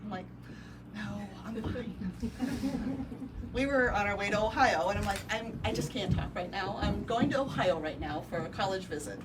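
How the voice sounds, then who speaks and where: A woman with a Midwestern accent tells an assembled crowd a personal story, pausing often with ums. She is heard from a distance across a noisy indoor room, at a middle pitch. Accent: Midwestern American. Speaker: real estate brokerage owner. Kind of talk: ribbon-cutting speech. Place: indoor office event space with a crowd.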